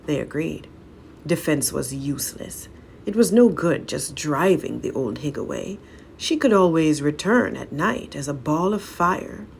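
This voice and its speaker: A woman storyteller with a low voice and plenty of fry. She narrates a folk tale slowly and dramatically, as a read-aloud. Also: animated, a little mellow, a little hiss or hum.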